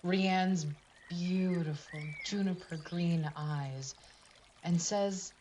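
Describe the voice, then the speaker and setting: Slow, low-voiced woman telling a fairy tale. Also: a little mellow, a little hiss or hum. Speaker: storyteller. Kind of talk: scripted storybook narration for a puppet show.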